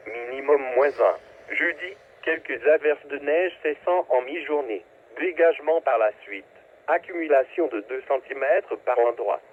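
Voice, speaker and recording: A man's voice: mid-range voice, husky. A synthetic male voice reads scripted weather forecasts in clipped, impersonal phrases over a radio. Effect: radio transmission. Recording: narrowband, staticky weather radio broadcast.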